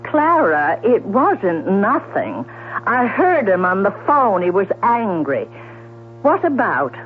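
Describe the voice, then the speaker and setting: An actress playing an anxious, caring sister, earnest and deliberate, in a 1970s radio drama. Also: female voice, medium pitch, big pitch swings. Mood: worried, insistent. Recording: tinny, noisy vintage radio broadcast with hum.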